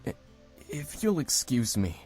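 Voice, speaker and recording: An actor playing a polite, bashful young businessman in a visual novel game, with a formal manner and a husky voice. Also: medium pitch, very animated, a little slow, a little mellow, soft background music. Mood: nervous, subdued. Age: young adult.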